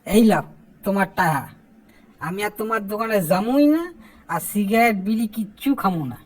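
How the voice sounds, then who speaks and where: A woman's voice: mid-range voice, moderate pace, a little mellow, slightly rough, close to an everyday voice. A woman playing a cheeky, put-upon young lad in an amateur comic cartoon, indignant and complaining in an eastern Bengali accent.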